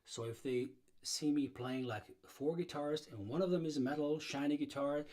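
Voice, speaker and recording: A man's voice: fairly high pitch, moderate pace, a little mellow. Man rambling on a livestream. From Serbia. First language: Serbian. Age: middle-aged. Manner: rambling, many fillers and restarts. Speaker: blues guitarist hosting a livestream. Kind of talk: casual livestream talk.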